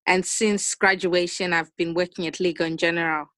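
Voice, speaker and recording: Low-voiced young Zimbabwean woman. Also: flat delivery, moderate pace, a bit bright, no echo. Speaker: interview guest, finance analyst. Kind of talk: conversational interview answers. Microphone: video call.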